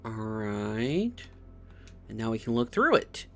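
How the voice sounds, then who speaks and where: A man's voice: fairly low pitch, sing-song, slow, a little hiss or hum. A man doing casual game stream commentary, thinking aloud and often repeating words as he puzzles things out.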